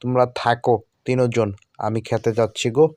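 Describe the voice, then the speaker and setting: A man's voice: medium pitch, level tone, brisk, a little mellow, dry recording, close to an everyday voice. A man playing an earnest, worried village farmer and husband in a Bangla cartoon. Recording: music under the voice.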